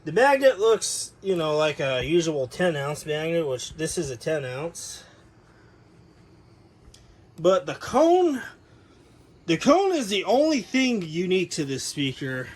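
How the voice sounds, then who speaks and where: Higher-voiced man rambling through a gear overview. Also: sing-song, slow pace, pauses often. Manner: rambling, informal, self-correcting. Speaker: hobbyist reviewer showing audio gear. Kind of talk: casual unscripted product overview.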